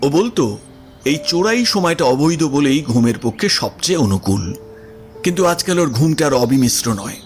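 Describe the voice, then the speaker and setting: A man's voice: a little high, sing-song, moderate pace. A man reading literary prose as the narrator of an audio story. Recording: music under the voice. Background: background music.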